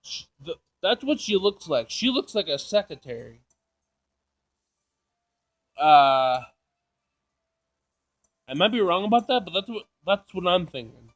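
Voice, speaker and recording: A man doing casual playthrough commentary, reading game text aloud, jokey and thinking out loud as he repeats himself. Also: sits high, big pitch swings, unhurried, pauses often. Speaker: gaming video host.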